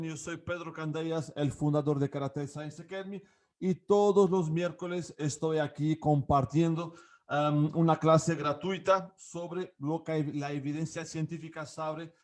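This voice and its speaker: A man in his forties speaks with a Portuguese accent, his voice sitting a little high for a man. He talks like a coach at an online class, stopping often for fillers and restarts as he works out his phrasing. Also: a little mellow. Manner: hesitant, many fillers and restarts. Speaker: karate coach and academy founder. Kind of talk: online class talk to camera.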